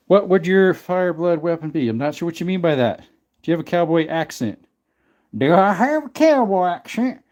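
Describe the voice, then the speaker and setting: Chill guy running a livestream, kinda high voice, rambly, answering chat and thanking folks. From not from the American South. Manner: relaxed and rambling, thanks viewers by name and answers chat questions. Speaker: livestream host. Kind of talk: casual livestream chat, reading and answering viewer comments.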